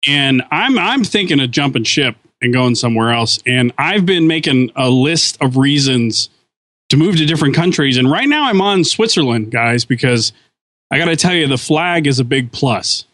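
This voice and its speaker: A man's voice: medium pitch, lively intonation, normal speed, fairly bright tone, no room sound. A podcast co-host on his last show, chatting casually and earnestly, fond of corny dad jokes.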